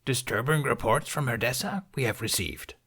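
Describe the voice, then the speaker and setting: A man voicing an ancient, small alien master in an audiobook, with a croaky, strained voice and grave, inverted phrasing. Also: medium pitch, a bit bright, pitched above the performer's own voice. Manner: inverted word order, grave and deliberate. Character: ancient small green alien Jedi master, stern, inquisitive.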